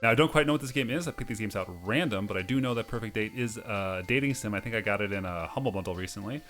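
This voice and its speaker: A man's voice: lowish voice, quick pace, fairly bright tone. Man with game music behind him. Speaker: host of a video game playthrough series. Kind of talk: let's play commentary and reading game text aloud.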